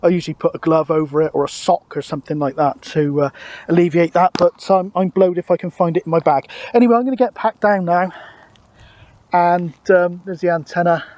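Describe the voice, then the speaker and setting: A British man with a notably high voice and a very soft, mellow tone. He chats casually outdoors, easygoing and colloquial, like someone talking through his hobby. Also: steady tone, fairly quick, no echo. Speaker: vlogger and CB radio operator. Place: outdoors on a grassy hilltop.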